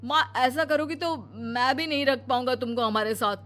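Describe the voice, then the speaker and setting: A woman's voice: sits high, normal speed, fairly bright tone, soft background music. Woman playing a selfish, impatient young son. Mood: annoyed.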